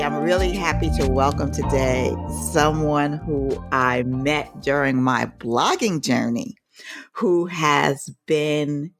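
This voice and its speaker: Deep-ish woman podcast host, calm measured intros, soft music in the back. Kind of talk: interview podcast.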